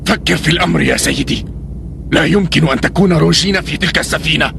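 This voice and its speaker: A man's voice: fairly high pitch, fast talker, gravelly, background noise. A man voicing a loyal, cautious officer who earnestly argues with his hot-headed commander in a dubbed cartoon. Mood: warning. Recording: background score under voice.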